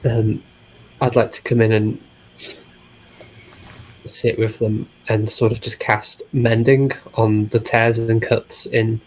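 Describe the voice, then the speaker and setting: A man's voice: on the low side, flat delivery, takes it slowly, pauses often, low-quality recording. A British man improvising as a player in a tabletop roleplaying show.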